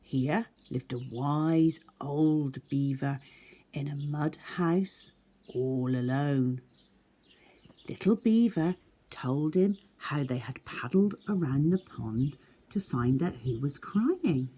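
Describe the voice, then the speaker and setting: A woman storyteller and teacher reading aloud to children in an expressive storytelling style. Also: sits low, slow, husky. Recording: faint background noise, birdsong. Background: birdsong.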